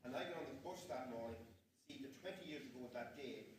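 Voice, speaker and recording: An Irish man from Dundalk telling an animated anecdote as a panel guest at a conference. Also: mid-range voice, fast talker, a little hiss or hum. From Dundalk, Ireland. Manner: animated storyteller, quotes others. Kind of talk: storytelling anecdote. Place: conference hall. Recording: distant, roomy hall with crowd.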